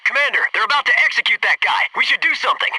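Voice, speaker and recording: Gung-ho Navy SEAL, noisy audio. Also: male voice, extremely high pitch, very fast. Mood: urgent, alarmed. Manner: clipped military reporting. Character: gung-ho, wisecracking Navy SEAL fireteam soldier. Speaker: game voice actor. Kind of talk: military radio callouts in a video game. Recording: noisy radio-style game audio, slightly distant.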